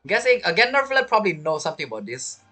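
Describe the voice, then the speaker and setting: A woman's voice: lowish voice, very animated, rapid-fire, pushed and bright, faint music behind. A woman giving casual, teasing commentary as she reacts to a game. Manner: joking, teasing. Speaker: game streamer.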